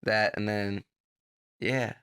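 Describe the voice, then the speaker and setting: A man's voice: lowish voice, steady tone, slow pace, fairly bright tone, smooth, no echo, studio quality. A young man with an Australian accent, a country singer being interviewed, easygoing and agreeable in casual chat. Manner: easygoing, agreeable, laughs along.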